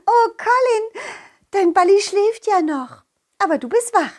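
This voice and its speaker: Woman playing kind magical fairy-tale friend, very high voice, swooping melody, drawn-out gushing delivery, children's audio play. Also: animated. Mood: kind, delighted.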